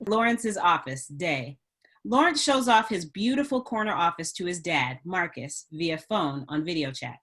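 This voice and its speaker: Casting: female narrator, somewhat low register, scripted stage-direction reading for a drama table read. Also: expressive, normal speed, a bit bright.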